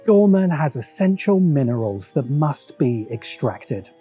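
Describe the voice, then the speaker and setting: British male essayist, mellow and slightly high voice, deliberate emphatic delivery, music underneath. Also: big pitch swings, slightly rough. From Britain. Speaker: video essayist, host. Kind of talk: scripted narration of a political film essay. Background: background music.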